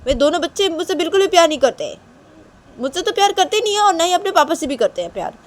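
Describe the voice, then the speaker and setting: A woman's voice: very high voice, big pitch swings, quick pace, voice pushed up. A woman voicing a hurt mother in a story, accusing and scolding insistently. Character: hurt, reproachful mother scolding her children. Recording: clear background noise.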